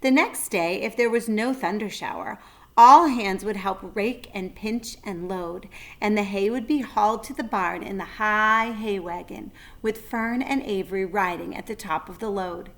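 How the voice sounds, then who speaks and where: A woman narrating a children's story with deliberate, expressive phrasing. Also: mid-range voice. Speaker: read-aloud narrator.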